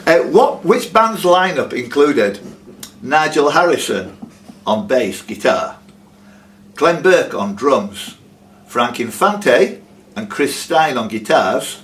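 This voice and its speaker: A man's voice: mid-range voice, sing-song, slow pace, fairly bright tone, slight background noise. A man hosting a live club quiz, reading out questions and slipping in teasing, jokey asides. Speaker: quiz host. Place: working men's club.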